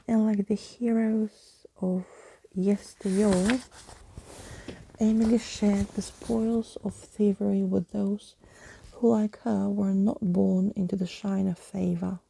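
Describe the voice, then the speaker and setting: A woman reading a children's story aloud in a soft ASMR style, often dropping into whispers. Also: mid-range voice, gravelly, husky, dry recording, poor audio.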